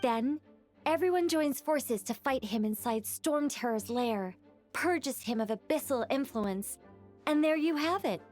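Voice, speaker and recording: A wry, cautious angel. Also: female voice, high-pitched, lively intonation, measured pace, a little mellow, soft background music. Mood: explaining. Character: cautious adult female angel, wry and dutiful. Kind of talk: video game cutscene dialogue.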